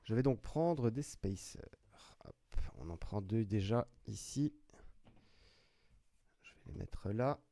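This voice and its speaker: Slow, chatty man announcing card pulls. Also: on the low side, expressive, creaky, dark tone, dry recording. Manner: chatty, announces player names one by one, fillers and restarts. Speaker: trading card break host. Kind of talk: live commentary while opening card packs.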